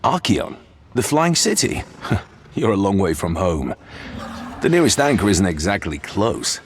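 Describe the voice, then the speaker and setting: A man's voice: on the low side, very animated, a little slow, husky, heavily acted. An actor playing a curious male warrior who questions a stranger. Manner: questioning, plain.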